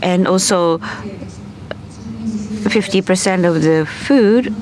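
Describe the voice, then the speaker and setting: A woman with a slightly low voice moderates a formal panel and then interprets for a speaker. She talks haltingly, with frequent fillers and restarts. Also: crowd noise. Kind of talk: conference moderation and live interpretation. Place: conference hall.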